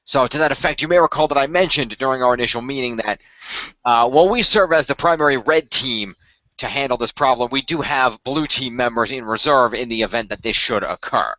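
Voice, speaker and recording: Man playing a formal team leader, bright forceful voice. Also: mid-range voice, fairly quick, dry recording, dull audio, lightly acted. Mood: formal, businesslike. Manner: wordy, formal, hesitant. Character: measured, formal male team leader briefing young demon-fighting heroes. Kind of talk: amateur radio play role-play.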